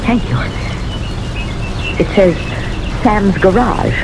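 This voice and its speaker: A woman's voice: medium pitch, slow pace, over music. An actress playing a timid older woman living alone in an old-time radio drama, hesitant, polite and easily flustered. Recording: noisy, hissy old radio recording.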